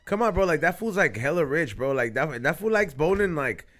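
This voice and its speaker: A man's voice: on the high side, expressive, brisk, fairly bright tone. A man hosting a podcast in casual, rambling chat, cursing freely and laughing often. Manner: laid-back, rambling, swears a lot. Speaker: podcast host.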